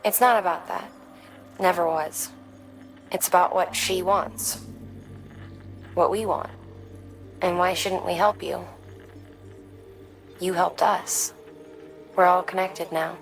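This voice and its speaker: An enigmatic game character with a lowish, heavily creaky voice. She is devoted and cryptic, speaking in short deliberate phrases over faint music. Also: steady tone, rough recording. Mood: devoted, insistent. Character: enigmatic, devoted woman offering radio support. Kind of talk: dramatic video game dialogue.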